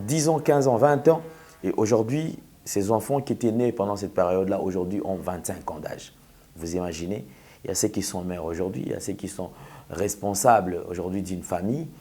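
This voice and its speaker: A man's voice: lowish voice, a little mellow, slightly rough. A man with a Congolese accent hosting a TV talk show, speaking in an opinionated monologue with rhetorical questions and emphatic repetition. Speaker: TV host.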